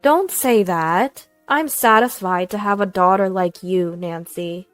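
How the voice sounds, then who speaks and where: A woman acts out a frail, kindly old mother-in-law in a family story. She speaks gently and slowly, with faint music behind. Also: mid-range voice, no echo, close to an everyday voice. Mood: tender. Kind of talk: acted dialogue in narrated story.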